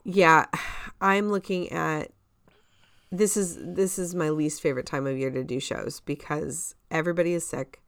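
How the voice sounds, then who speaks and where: Woman podcast cohost just chatting, lowish voice, dry sound no room echo. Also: steady tone, a little slow. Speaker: podcast co-host; community theater director. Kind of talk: casual conversational podcast chat.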